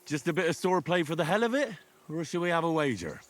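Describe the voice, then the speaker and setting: An actor playing a reserved young squire with a dry, understated wit in a game cutscene. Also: male voice, a little high, expressive, fast talker, close to an everyday voice. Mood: wry.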